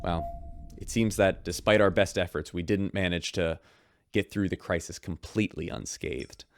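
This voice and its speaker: A man's voice: low-pitched, fairly even intonation, normal speed, no echo, studio quality. A man playing a wry, chatty radio host aboard a spaceship, reading news in a scripted sci-fi audio drama. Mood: wry, upbeat.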